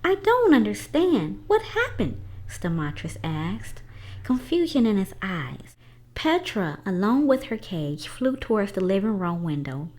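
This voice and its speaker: A woman reading a children's story aloud as a storyteller, with a dramatic, expressive delivery. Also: medium pitch.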